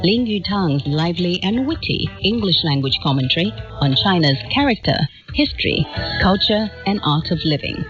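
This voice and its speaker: A woman's voice: fairly low pitch, very animated, moderate pace. A woman radio announcer reading a scripted programme introduction. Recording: muffled radio capture, music behind.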